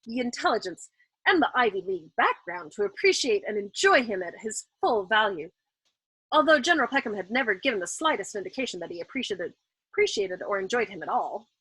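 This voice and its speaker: A woman reading a novel aloud in an expressive, dramatic audiobook style, as the host of a storytime. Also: on the high side, a little fast, breathy edge, dry recording, rough recording.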